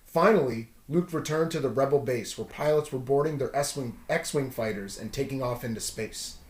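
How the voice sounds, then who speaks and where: A man with a fairly high voice narrates a children's adventure story aloud. His delivery is dramatic, leaning into the dialogue, and the room adds a clear echo. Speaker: storybook read-aloud host. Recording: roomy echo.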